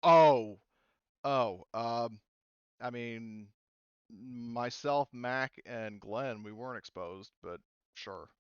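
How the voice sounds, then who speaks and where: Guy doing a chill young crew woman just out of cryo, kinda hesitant, smooth voice. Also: medium pitch, very slow, dry recording, dull audio. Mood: startled. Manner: nonchalant, hesitant.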